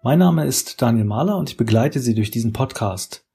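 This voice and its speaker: A man's voice: medium pitch, lively intonation, a little fast, gravelly, dark tone, slightly rough, faint music behind. A male podcast host reading a formal introduction and asking polite interview questions.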